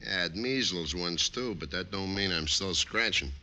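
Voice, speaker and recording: An actor playing a tough ex-convict who runs a pool room, curt and wisecracking, in old radio crime style. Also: male voice, deep voice, normal speed, bright, forceful tone, full character voice. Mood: scornful. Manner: curt, wisecracking street talk. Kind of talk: 1940s-50s radio crime acting.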